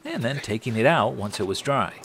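A man's voice: mid-range voice, animated, fairly quick, heavy background noise. A middle-aged American man narrating a scripted woodworking tutorial in a wry, tongue-in-cheek manner. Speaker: host of a woodworking channel.

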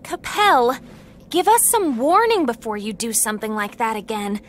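An actress playing a feisty young heroine in a video game, bossy and exclamatory. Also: female voice, on the high side, sing-song, moderate pace, slight background noise. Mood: scolding, alarmed. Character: feisty, scolding young heroine, quick to fret. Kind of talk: animated video game acting.

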